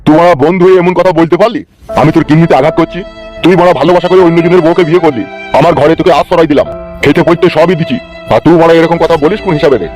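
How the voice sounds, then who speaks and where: A man's voice: a little high, very fast, a little mellow, faint music behind, natural voice. A man voicing a teasing, resentful village friend in a comedy cartoon, taunting in a folksy way. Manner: taunting, quotes folksy rhymes.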